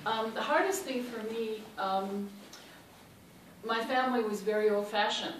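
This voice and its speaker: A woman's voice: a little high, unhurried. A woman with a Chicago accent, telling personal stories on a panel with lots of ums and uhs. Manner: warm and anecdotal, many ums and uhs. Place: large hall. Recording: echoey hall, mic far off, noisy.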